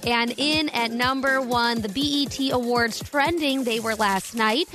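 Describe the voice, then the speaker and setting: A woman's voice: fairly high pitch, unhurried, a bit bright, faint music behind. A woman co-hosting a morning radio show, reading trending entertainment news and chatting about it. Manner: chatty, reads headlines then riffs.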